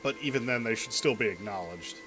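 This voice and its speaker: A man's voice: fairly low pitch, fairly quick, noisy background. A man giving casual commentary as a streamer while he follows a video game story.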